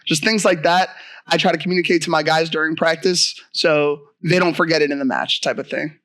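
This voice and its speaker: The fairly high-voiced guy coach on a gaming round-table podcast, chatting through tactics in a very dry-sounding setup. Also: steady tone, a little fast, fairly bright tone, no room sound. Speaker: esports coach. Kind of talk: casual round-table discussion.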